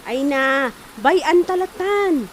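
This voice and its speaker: A woman's voice: sits high, animated, slow, a little hiss or hum, poor audio. A woman acting a good-natured, proud village woman in an Ilocano comedy drama, with an Ilocano accent. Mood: puzzled, indignant.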